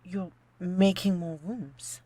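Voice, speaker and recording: A woman's voice: on the low side, steady tone, slow pace, mellow, dry recording. A woman acting a meek, naive housekeeper in a horror radio play, deferential, hesitant and uneasy.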